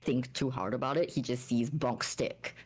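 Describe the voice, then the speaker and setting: A woman playing a tabletop game, casually narrating her character's actions while thinking aloud in a halting way. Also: a bass voice, slight background noise.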